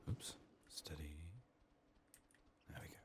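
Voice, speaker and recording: A man doing soft-spoken, often whispered ASMR game commentary, muttering to himself with a voice strained by illness. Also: takes it slowly, noisy background. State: sick, resting a strained voice. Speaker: video game streamer.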